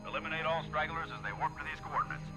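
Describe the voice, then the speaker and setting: A brisk male fleet commander. His raised, authoritative voice comes through a military radio filter, with prominent music behind. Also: a little high, flat delivery. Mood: commanding. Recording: radio transmission under game music.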